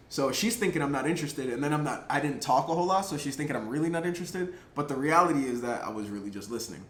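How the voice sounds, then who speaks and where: Casual male vlogger, filler-heavy, animated storyteller, echoey room. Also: medium pitch. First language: English. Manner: chatty, lots of "like" and "um" fillers, laughs between thoughts; animated, gets louder at exciting moments. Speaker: vlogger telling a personal story. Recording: roomy echo, faint background noise.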